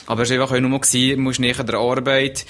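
A man's voice: mid-range voice, flat delivery, rapid-fire, a bit bright. Swiss German man, hesitant guest. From Switzerland. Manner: hesitant, many restarts. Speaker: interview guest. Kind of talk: casual radio interview.

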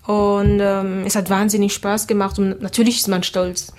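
Woman, flat even speech. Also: medium pitch, normal speed. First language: Creole. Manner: relaxed, hesitant with many fillers. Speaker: singer guest. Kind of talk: casual interview answers.

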